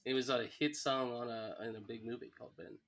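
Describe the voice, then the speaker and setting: A man's voice: mid-range voice, fairly even intonation, fast talker, fairly bright tone. A man co-hosting a podcast, playfully chatting about a TV episode, chiming in with laughs and acted-out quotes. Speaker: podcast co-host.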